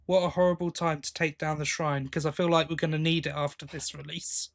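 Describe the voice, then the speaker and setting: Higher-voiced man chatting casually. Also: level tone, a little fast, gravelly. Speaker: podcast co-host. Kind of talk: casual chat about audio drama releases.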